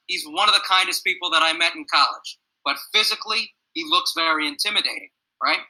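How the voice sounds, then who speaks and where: A young male teacher speaks slowly and thoughtfully to his students over a video call, pausing often and asking what they think. His voice is slightly raised in pitch and very bright, with a gruff edge. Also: poor audio. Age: young adult. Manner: earnest, reflective, asks students questions. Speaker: middle school teacher. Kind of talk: classroom discussion on a video call, personal story.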